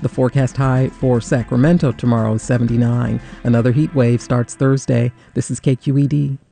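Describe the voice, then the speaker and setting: A man reading a promo and the weather in a smooth announcer delivery. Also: mid-range voice, fairly even intonation, a little fast, mellow, soft background music. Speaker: station announcer.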